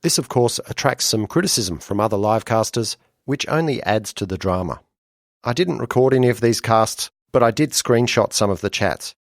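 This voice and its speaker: A man from Western Australia, hosting and narrating a podcast in a relaxed, wry way. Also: on the low side, moderate pace, dry recording, clean studio sound. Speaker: podcast host.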